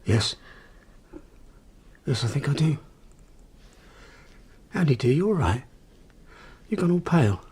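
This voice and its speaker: A man's voice: a little high, sing-song, slow pace, long pauses, a little mellow, breathy edge, full character voice. A man playing an evasive, easily flustered middle-aged husband and father in an audio drama. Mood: guarded, tender.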